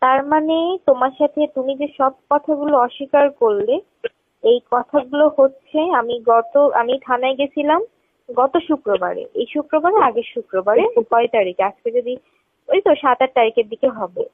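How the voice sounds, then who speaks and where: Woman, high voice, insistent and questioning, tinny phone call recording. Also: moderate pace, dry recording. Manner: insistent, questioning, repeating herself. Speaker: caller. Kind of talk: confrontational phone conversation.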